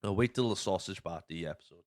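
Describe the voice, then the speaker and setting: A man's voice: deep voice, quick pace. Sweary man chatting about films. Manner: sweary, animated retelling of a film plot. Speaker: podcast host. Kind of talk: casual film podcast chat.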